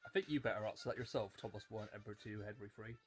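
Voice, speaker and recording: A man's voice: on the low side, very fast, faint music behind. A man of about twenty giving casual, rambling, opinionated commentary on a show as he watches it, often breaking off mid-thought. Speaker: YouTube commentator on a children's TV series. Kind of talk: casual rewatch commentary, off the cuff.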